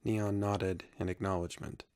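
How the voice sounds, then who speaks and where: Deep, creaky, even-toned male narrator. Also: normal speed, no echo, clean studio sound. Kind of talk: scripted sci-fi story narration.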